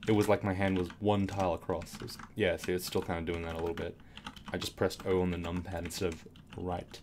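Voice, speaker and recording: Low, slightly raspy male game commentator. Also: fast, background noise. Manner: relaxed, rambling, with frequent fillers and restarts. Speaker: game tutorial host. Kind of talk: casual gameplay commentary. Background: keyboard clicks.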